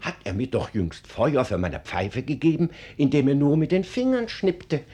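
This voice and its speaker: Husky, very animated gentleman registrar. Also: on the high side, moderate pace, full character voice. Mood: earnest. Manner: animated, confidential, old-fashioned courtly phrasing. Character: gossipy, self-important gentleman registrar. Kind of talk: German radio drama.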